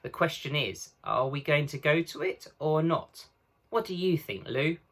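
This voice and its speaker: A man's voice: fairly high pitch, animated, measured pace, fairly bright tone, pitched above the performer's own voice, full character voice. A man voicing an earnest, decisive boy, the eldest brother in a storybook.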